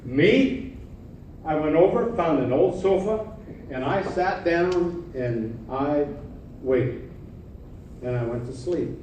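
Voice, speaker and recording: High-voiced man telling a theatrical tall tale, echoey. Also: lively intonation, a little mellow. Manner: dramatic, deliberate delivery with drawn-out emphasis. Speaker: speech contest storyteller. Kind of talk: theatrical humorous tall-tale speech to an audience. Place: large conference room with live audience. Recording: echoey hall from far off, faint noise.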